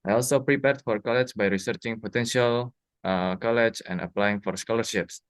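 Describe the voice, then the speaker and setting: A man's voice: fairly low pitch, moderate pace, dry recording. A young man, a university student with an Indonesian accent, giving prepared answers in a spoken question-and-answer exercise. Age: young adult.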